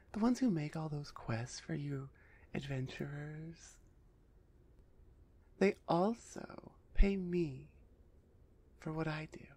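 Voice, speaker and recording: A woman playing a big, haughty minotaur executioner in an ASMR roleplay audio drama, stern and dismissive, with a husky, deliberate delivery. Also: low-pitched, dark tone, full character voice. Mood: proud, defensive.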